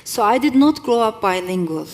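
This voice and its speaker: A woman's voice: a little high, lively intonation. A middle-aged woman author whose first language is not English, speaking thoughtfully at a live event and reading from her novel. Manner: reflective, with measured pauses and occasional restarts. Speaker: author and guest.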